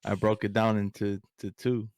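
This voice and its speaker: Gravelly low-voiced man, casual chat. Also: little pitch movement, moderate pace, a little mellow, no echo, studio quality.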